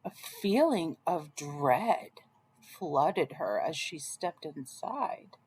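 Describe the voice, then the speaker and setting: A woman reading a children's story aloud as an expressive storyteller. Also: sits low, very slow, slightly rough, rough recording.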